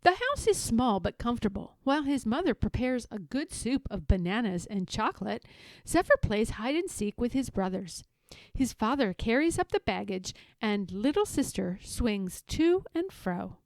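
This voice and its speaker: A woman reading a children's story aloud in an animated, theatrical, expressive way. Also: fairly high pitch, normal speed, no echo. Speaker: storybook reader.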